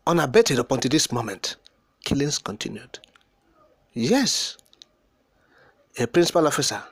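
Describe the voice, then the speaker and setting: A man's voice: mid-range voice, breathy edge, no echo. A man with a Nigerian accent giving impassioned, unscripted political commentary in an emphatic, oratorical way, repeating and piling up words for emphasis.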